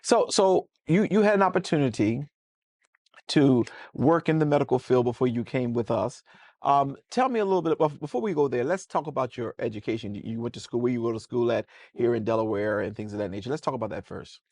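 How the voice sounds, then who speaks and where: A man's voice: medium pitch, lively intonation, brisk, a little mellow, no echo. A man with an American accent, a pastor hosting a casual church talk show, folksy and preacherly, often repeating words as he starts a sentence.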